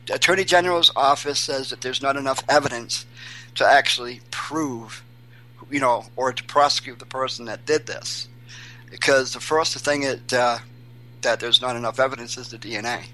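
Bright-voiced halting male guest. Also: mid-range voice, low-quality recording. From Maine, USA. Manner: halting, many restarts and fillers. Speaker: guest, volunteer searching for missing children. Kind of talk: casual interview.

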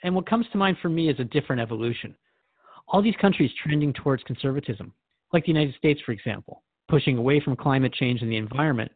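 A man's voice: medium pitch, animated, quick pace, no room sound, narrow, tinny audio. A man hosting a scripted radio drama interview, asking frank, questioning things of his guest.

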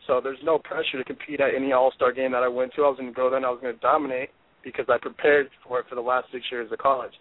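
Young guy in his 20s on a tinny phone line, pumped and sure of himself, flat steady delivery. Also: medium pitch, racing, dry recording. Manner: intense, confident, driven. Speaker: football player, draft prospect guest. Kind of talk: phone-in interview.

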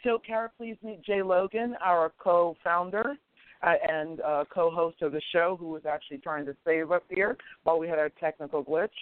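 Hoarse man, talk show host, apologetic, rambling, tinny phone line, bit high, dry. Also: moderate pace, no room sound. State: hoarse, lost his voice. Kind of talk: casual radio talk show.

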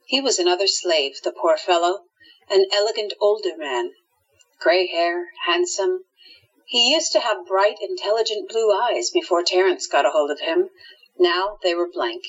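A woman narrates a scripted radio drama. Her voice sits fairly low and has a husky grain. The recording is a touch dull.

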